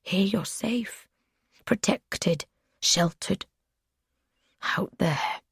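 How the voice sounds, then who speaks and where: A woman voicing an obsessive male captor who speaks in soft, breathy whispers. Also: mid-range voice, extremely slow, dry recording, clean studio sound, pitched above the performer's own voice, full character voice. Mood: whispered menace.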